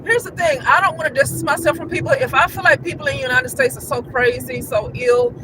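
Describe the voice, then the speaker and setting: Very high-voiced man ranting outdoors. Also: fast talker, crowd noise. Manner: repetitive, restarts often, rhetorical questions. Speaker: vlogger. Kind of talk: casual rambling monologue. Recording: noisy street traffic behind.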